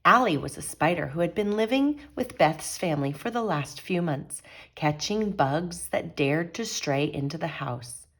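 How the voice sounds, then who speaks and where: A woman reading a children's picture book aloud as a storyteller, expressive and teacherly. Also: sits low, measured pace.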